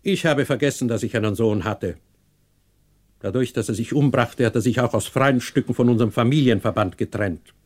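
A man acting a stern, proud, unforgiving older patriarch in a German radio play, cold, sarcastic and measured. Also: medium pitch, a little mellow, close to an everyday voice. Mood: cold, haughty.

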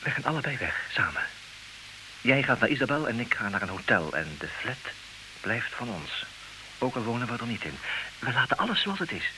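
Actor as an ironic husband, hissy old radio. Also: male voice, medium pitch, sing-song, a little fast, close to an everyday voice. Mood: tentative, hopeful. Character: intelligent, ironic, possessive husband pleading his case. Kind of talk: old radio play acting. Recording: hissy old radio recording, clear noise.

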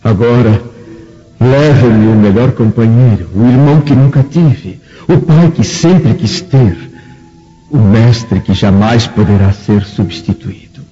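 Slow, dark-voiced grieving Roman senator. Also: male voice, fairly low pitch. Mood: grieving, despairing. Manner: solemn, slow lament. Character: anguished, proud Roman senator in lonely grief. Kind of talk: radio drama monologue. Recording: dull radio drama recording with music.

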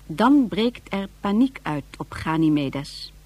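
A woman narrating a radio drama from a script in a deliberate, dramatic storytelling manner. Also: fairly low pitch, big pitch swings. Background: music.

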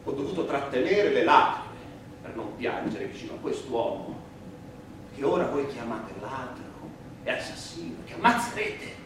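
An actor playing a defiant, wounded condemned prisoner addressing the court in emphatic theatrical oratory full of repetition. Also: male voice, medium pitch, very animated, normal speed, close to an everyday voice. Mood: tender, pained. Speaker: stage actor. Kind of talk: theatrical monologue and dialogue in a stage play for radio. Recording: roomy, noisy, echoing distant stage recording.

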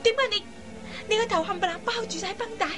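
A woman's voice: very high voice, fast talker. An actress playing an emotional young woman in a radio drama, pleading melodramatically. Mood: anxious. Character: emotional young woman, injured crash survivor and younger sister. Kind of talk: Cantonese radio drama acting.

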